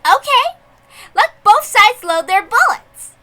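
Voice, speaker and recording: A woman's voice: very high voice, sing-song, slow pace, sharp tone, voice pushed up, exaggerated voice. A woman voicing a grand, imperious witch who presides over a duel, proclaiming pompously in a visual novel reading. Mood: commanding.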